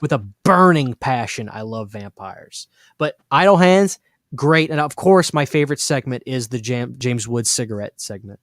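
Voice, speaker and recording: Dry, emphatic horror-fan host. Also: male voice, mid-range voice, animated, normal speed, dry recording. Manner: enthusiastic, emphatic, repeats catchphrases. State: losing his voice. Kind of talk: casual live stream chat.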